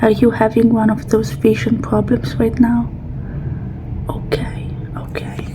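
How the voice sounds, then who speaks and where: Slow, whispering ASMR woman. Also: fairly high pitch, monotone, rough recording. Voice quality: breathy whisper. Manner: gentle, unhurried, reassuring. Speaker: ASMR performer roleplaying a robot technician. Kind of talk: ASMR roleplay, whispered and soft-spoken.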